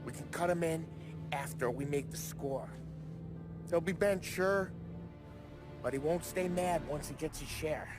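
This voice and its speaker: An actor in a video game playing a restless, ambitious American mobster with slangy, streetwise talk. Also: male voice, a little high, unhurried, pauses often, over music, natural voice. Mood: eager, insistent. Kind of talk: cinematic video game acting.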